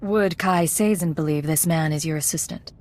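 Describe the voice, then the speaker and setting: An actress playing a secret agent in a video game, speaking in a terse, dry, businesslike way. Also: female voice, sits low, normal speed, a little mellow, close to an everyday voice. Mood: guarded.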